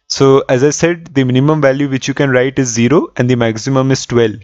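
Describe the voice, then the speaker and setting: A man gives a methodical software tutorial at a middle pitch. He explains each step and repeats key points. The recording is very dry and slightly muffled. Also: dry recording. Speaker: tutorial host.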